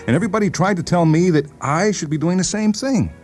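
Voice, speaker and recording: A man playing an agitated, paranoid patient in a dramatized story, insistent, halting and repeating himself. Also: high voice, darkish tone, faint music behind, close to an everyday voice. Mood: scornful. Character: agitated paranoid male patient convinced the world conspires against him. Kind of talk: dramatized short story reading.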